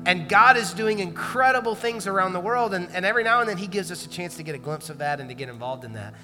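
A man leading a church service as pastor, talking loosely and conversationally, full of likes and restarts. His voice sits fairly high and has a bright, forward edge. It rings through a large hall with a long reverb, and music plays softly underneath. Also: animated, fast. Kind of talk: church announcements and introduction.

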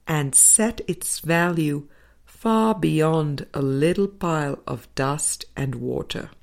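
A woman narrating a spiritual audiobook, reading scripted text in measured, deliberate phrases. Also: deep voice, a little mellow. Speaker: audiobook reader. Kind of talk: scripted spiritual book narration, read aloud.

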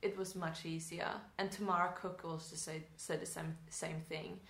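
A young woman with a Swedish accent, Swedish her first language, speaks in a lowish voice. She talks through her thoughts with restarts and little laughing asides. The room around her is echoey. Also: little pitch movement, normal speed, gravelly, fairly bright tone, slight background noise. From Sweden. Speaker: acting student. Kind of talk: casual interview chat.

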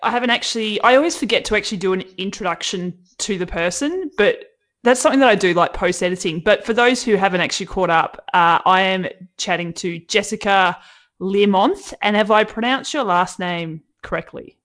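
An Australian woman hosting a podcast, talking in an animated, casual way and laughing a lot. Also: medium pitch, a little fast. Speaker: podcast host. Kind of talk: casual interview chat.

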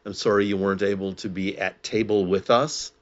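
Low-pitched man hosting a podcast, muffled sound. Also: steady tone, a little fast. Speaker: podcast host and pastor. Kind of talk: podcast sign-off addressed to listeners.

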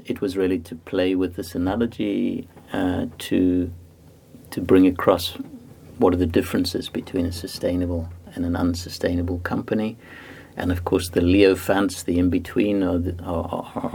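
A man from Zimbabwe, a guest author, giving measured, explanatory answers in a podcast interview, with many pauses and fillers. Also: sits low, creaky, a little mellow, husky.

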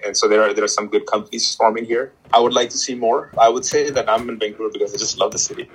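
Husky, halting man, low voice. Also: fairly even intonation, poor audio. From Pakistan. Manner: halting, many restarts and fillers. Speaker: guest. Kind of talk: casual interview chat.

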